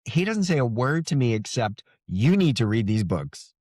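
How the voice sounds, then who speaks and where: A man's voice: medium pitch, very animated, normal speed, darkish tone, dry recording, studio quality. A middle-aged man speaking as an interview guest, telling things in a casual, reflective, conversational way. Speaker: fantasy author, interview guest.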